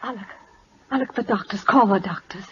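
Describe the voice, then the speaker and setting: An actress playing a devoted, earnest schoolteacher at a royal court in a 1940s radio drama, precise and emotional in delivery. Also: female voice, mid-range voice, fairly quick, slight background noise, lightly acted. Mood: anxious. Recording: dull old radio recording, orchestral music.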